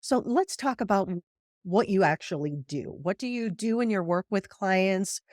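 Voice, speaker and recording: A woman's voice: mid-range voice, animated, normal speed, a little mellow, no room sound, clean studio sound. A woman hosting a podcast interview, upbeat and welcoming as she asks guiding questions. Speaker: podcast host. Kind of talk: conversational interview.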